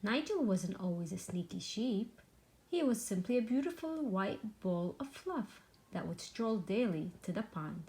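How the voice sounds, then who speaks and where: A woman reading a children's storybook aloud with deliberate, dramatic, expressive narration. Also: medium pitch, poor audio. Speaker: storybook reader.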